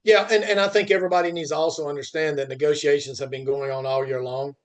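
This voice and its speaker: Higher-pitched man talking shop, halting and emphatic. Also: fairly even intonation. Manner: halting, repeats phrase starts; emphatic, asks and answers own questions. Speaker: podcast host and freight industry commentator. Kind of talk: unscripted industry discussion.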